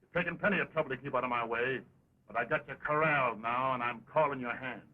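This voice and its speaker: A man's voice: mid-range voice, quick pace, close to an everyday voice. Man playing blustering cowboy villain, tinny old film. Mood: menacing. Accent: American. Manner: folksy cowboy slang, confrontational. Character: blustering, hostile cowboy villain spoiling for a showdown. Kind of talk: early 1929 western talkie film acting. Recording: tinny, band-limited 1920s film soundtrack.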